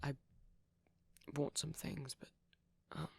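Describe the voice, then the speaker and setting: A man acting a shy, tender young vampire boyfriend in intimate ASMR roleplay, speaking softly and breathily, often near a whisper, with a hesitant, apologetic manner. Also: on the high side, flat delivery, slightly rough, no echo. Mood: earnest, hesitant. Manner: hesitant, halting, apologetic, gentle.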